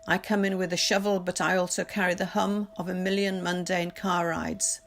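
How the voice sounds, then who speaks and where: A woman reading poetry aloud in a measured, literary recitation, deliberately pausing phrase by phrase. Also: fairly low pitch, flat delivery, fairly bright tone, a little hiss or hum. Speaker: poetry reader. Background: music.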